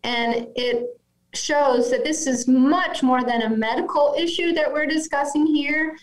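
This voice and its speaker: A woman in her fifties, a physician speaking as a podcast guest over a video call, measured and gentle in her explanations. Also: high voice. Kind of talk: remote podcast interview. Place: office at home, indoors. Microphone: video call webcam. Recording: echoey room on video call.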